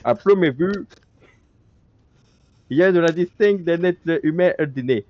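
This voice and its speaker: A man's voice: fairly high pitch, moderate pace, dull audio. A male streamer reading in-game documents aloud during a co-op session, stumbling over words and breaking off into joking asides.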